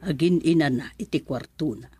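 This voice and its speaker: A woman voicing a caring older aunt in an Ilocano radio drama, with an Ilocano Filipino accent and expressive interjections. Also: low-pitched, brisk, soft background music, lightly acted. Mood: earnest, advising. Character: caring older aunt, advising her relatives.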